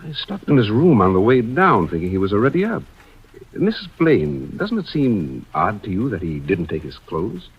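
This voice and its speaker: A man's voice: lowish voice, sing-song, moderate pace, slightly rough. A man playing a polite, inquisitive house guest in an old-time radio suspense drama. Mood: polite, suspicious. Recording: dull vintage radio recording.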